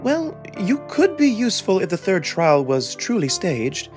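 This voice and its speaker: A man voicing a measured, diplomatic jackal nobleman from a visual novel, wary and deliberate in his formal phrasing. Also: sits high, wildly swooping pitch, darkish tone, faint music behind, voice pushed up, full character voice. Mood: cautioning. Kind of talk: visual novel character reading.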